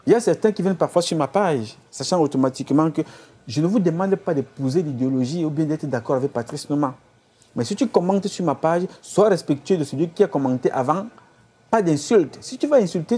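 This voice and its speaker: A man's voice: a little high, warm tone, breathy edge. A man from Cameroon, an activist leader giving an impassioned address to his followers, full of repeated exhortations. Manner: exhorting, repetitive rhetorical appeals.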